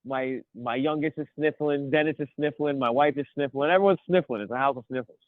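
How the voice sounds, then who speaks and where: A man in his thirties with a cold, chatting as a podcast co-host and rambling excitedly through his stories. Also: mid-range voice, a little fast, dry recording. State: sniffly from a cold. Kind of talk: casual chat. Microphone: phone. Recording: tinny phone line.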